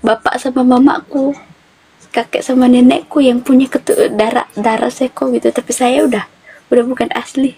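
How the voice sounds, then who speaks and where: Woman streamer, high and teasing, faint noise under. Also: flat delivery, moderate pace, creaky, warm tone, poor audio. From Toraja, South Sulawesi. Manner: teasing, chatty, laughs often. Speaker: live-stream host. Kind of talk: casual live-stream chat.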